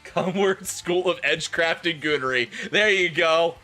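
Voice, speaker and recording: A man's voice: fairly high pitch, a little slow, pushed and bright, soft background music. A man hosting a livestream, bantering crudely and jokingly between bits of theatrical fan-fiction reading. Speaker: livestream host.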